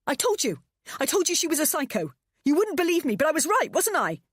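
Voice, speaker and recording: A woman voicing a frantic young mother who shouts in panic and fury. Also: medium pitch, very animated, brisk, breathy edge, no echo, studio quality, pitched above the performer's own voice.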